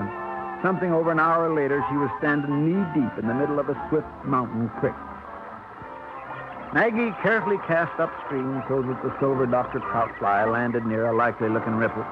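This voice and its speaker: A man narrating a 1950s radio drama in a measured, storytelling manner. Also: mid-range voice. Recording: tinny old radio broadcast with music.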